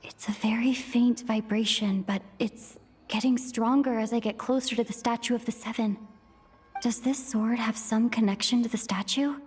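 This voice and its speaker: An actress playing a thoughtful, curious young adventurer heroine in a video game, musing aloud to herself. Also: female voice, on the high side, fairly even intonation, moderate pace, darkish tone, husky. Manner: pensive, thinking aloud. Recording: long reverb, music behind.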